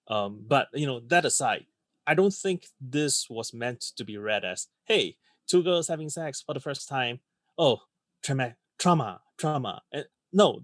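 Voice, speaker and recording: A middle-aged man from Singapore, a podcast panelist talking in a halting way with many ums and restarts. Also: mid-range voice, no room sound. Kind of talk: casual discussion.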